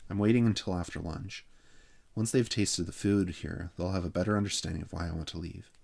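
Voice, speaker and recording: A man voicing a calm, unrepentant boy camper with dry wit and a matter-of-fact manner, in an audiobook. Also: low voice, brisk, vocal fry. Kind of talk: children's audiobook character voice.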